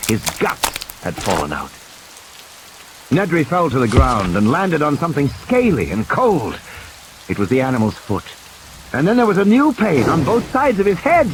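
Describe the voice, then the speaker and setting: A man's voice: medium pitch, background noise. A man narrating a novel in a theatrical, suspenseful storytelling style over background sound effects. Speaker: audiobook narrator. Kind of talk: scripted dramatic novel narration.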